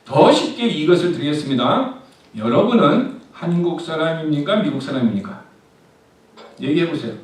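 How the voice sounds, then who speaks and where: A man's voice: fairly high pitch, animated, normal speed, slight background noise. Echoey preaching man, far off. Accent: Korean. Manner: asks the congregation rhetorical questions and answers them himself. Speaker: pastor. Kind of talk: sermon. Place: church sanctuary. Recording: very echoey church hall, mic at a distance.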